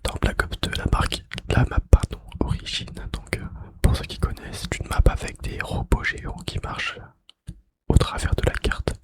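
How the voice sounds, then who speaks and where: A man speaking in a breathy, unvoiced whisper close to the mic, sharing gaming trivia ASMR-style and softly repeating key phrases. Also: moderate pace. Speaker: ASMR video creator. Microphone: close microphone.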